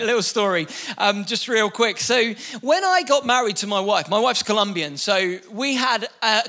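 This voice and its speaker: A young man preaching a live sermon in church. His voice sits high and bright, and he keeps it energetic and playful, stumbling and restarting as he goes. He throws jokes to the congregation. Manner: energetic, joking, many restarts. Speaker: pastor.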